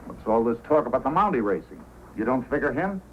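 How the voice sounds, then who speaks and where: An actor playing a blunt, threatening gambling syndicate enforcer in an old-time radio drama. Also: male voice, mid-range voice, sing-song, fast, natural voice. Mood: menacing. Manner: menacing, blunt. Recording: dull, faintly noisy old radio recording.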